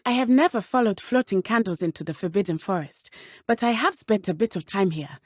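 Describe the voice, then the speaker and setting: A woman voicing a brave, earnest teenage witch schoolgirl in a video game, speaking in a formal, measured way. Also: medium pitch, dry recording, clean studio sound, natural voice. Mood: earnest, calm. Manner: formal, measured, avoids contractions. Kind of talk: scripted game companion dialogue.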